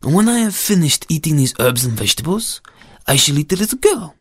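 A storyteller voicing a huge, bullying mountain goat who challenges people and makes drawn-out, boastful threats in a storybook tale. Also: mid-range voice, big pitch swings, breathy edge, no room sound, clean studio sound. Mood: menacing boast. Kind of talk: storybook character voice.

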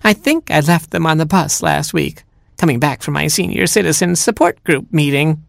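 A performer voicing a sly storybook cat who pretends to be a frail, fussy old grandmother bird, in drawn-out, quavery talk. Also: mid-range voice, creaky, dry recording, pitched above the performer's own voice. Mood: feeble, fussy.

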